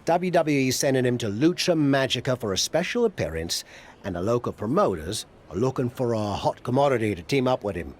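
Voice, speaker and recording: A man's voice: mid-range voice, very animated, fairly quick, a little hiss or hum, natural voice. A man playing a wry, blunt older wrestler turned manager in video game cutscene dialogue. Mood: wry, dealing.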